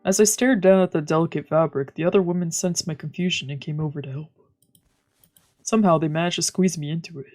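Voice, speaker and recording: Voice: medium pitch, fast, darkish tone. A streamer reading visual novel narration aloud, with casual asides, while music plays behind. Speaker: streamer, let's player.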